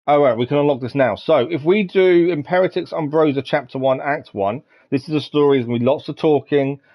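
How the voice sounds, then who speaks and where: A man's voice: a little high, a little fast. A man giving casual live gameplay commentary, musing aloud a little hesitantly as he goes. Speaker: game live streamer. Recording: dull lo-fi mic with game music. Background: game music.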